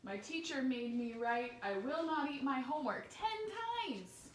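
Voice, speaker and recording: A woman voicing an earnest, cheeky young boy earthworm in a storybook, telling his diary in an expressive, childlike way. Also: high voice, unhurried, pitched above the performer's own voice. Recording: roomy, distant microphone, faint background noise.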